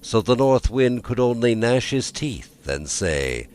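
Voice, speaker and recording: A man narrating a scripted fairy tale as a storyteller, measured and with dramatic emphasis. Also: mid-range voice, sing-song, a little mellow, slight background noise.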